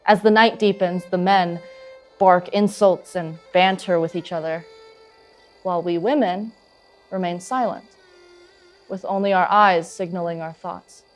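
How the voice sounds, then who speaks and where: An actress playing a young, proud eagle huntress in an earnest, intense dramatic monologue. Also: female voice, on the low side, fairly even intonation, measured pace, long pauses, soft background music, natural voice. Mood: quiet, reflective. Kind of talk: dramatic stage monologue, vivid storytelling.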